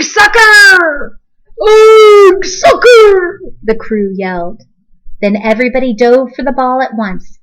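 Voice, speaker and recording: A woman reading a children's story aloud in an expressive, deliberate storytelling manner. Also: on the high side, low-quality recording. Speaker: storybook reader.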